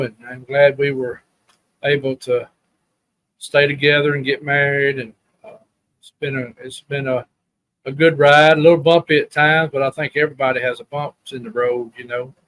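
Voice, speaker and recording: A man's voice: medium pitch, a bit bright, poor audio. A man from Alabama with a Southern accent, talking as a guest in a casual, rambling, plain-spoken way. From Alabama, USA. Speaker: podcast guest. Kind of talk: casual reminiscing chat.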